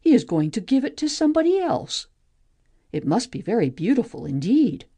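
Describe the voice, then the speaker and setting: A woman acts out a restless, wondering young heroine of a mythic play. The voice is soft-edged and slightly husky, with very lively melody. She muses aloud, full of curiosity. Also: on the high side, moderate pace, full character voice. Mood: wondering, eager. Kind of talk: amateur audiobook play reading, expressive.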